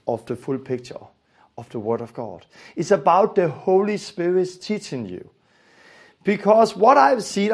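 A man with a Danish accent delivers a religious lesson in a fairly high voice with a lot of vocal fry. He is earnest in his teaching and often repeats phrases to stress them. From Denmark. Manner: earnest, repetitive phrasing for emphasis.

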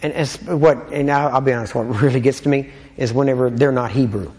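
A man's voice: medium pitch, brisk, darkish tone, in a large hall, a little hiss or hum. A man with a Texan accent preaching in a casual, folksy teaching style, full of joking asides and checks like "all right?". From Texas.

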